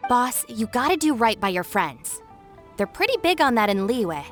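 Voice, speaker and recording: A woman voicing a sensible, no-nonsense young gang deputy in a video game, firm, reasoning and gently chiding. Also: fairly high pitch, very animated, moderate pace, faint music behind. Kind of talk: video game cutscene acting.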